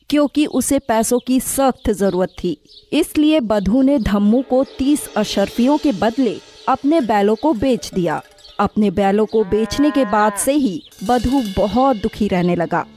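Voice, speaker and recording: A woman's voice: a little high, a little mellow. A woman narrator reading a scripted moral story for children.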